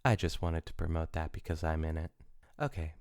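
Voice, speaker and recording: A man's voice: rumbling low, a little fast. A man hosting a casual comedy podcast, joking and rambling with some swearing. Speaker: podcast host.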